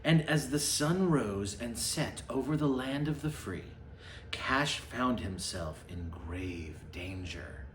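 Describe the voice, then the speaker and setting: A man's voice: medium pitch, lively intonation, slow pace, fairly bright tone, a little hiss or hum. Sarcastic man reading aloud, swinging and roomy. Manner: mock-dramatic storytelling, sarcastic muttered asides. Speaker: host reading a children's book aloud with mocking commentary. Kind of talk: satirical storybook read-aloud with casual asides. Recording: roomy, echoing sound.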